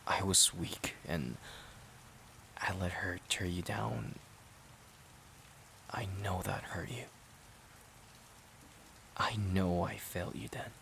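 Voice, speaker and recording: A man playing a resentful, overworked boyfriend in an emotional audio roleplay, halting and sighing as he repeats himself. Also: sits low, fairly even intonation, gravelly, pushed and bright, a little hiss or hum, full character voice. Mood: hurt, regretful. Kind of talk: emotional audio roleplay, intimate dramatic monologue.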